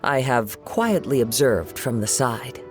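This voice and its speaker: Serene young male wanderer for a game, soft mid-pitched voice, courteous measured phrasing, music underneath. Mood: calm, reflective. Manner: calm, polite, measured, formal wording. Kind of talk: animated video game voice acting.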